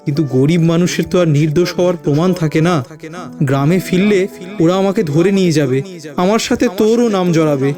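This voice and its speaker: A man's voice: a little high, fairly quick, lightly acted. A man playing an anguished young village lover voicing his farewell letter in an audio story, earnest and pleading, with music behind.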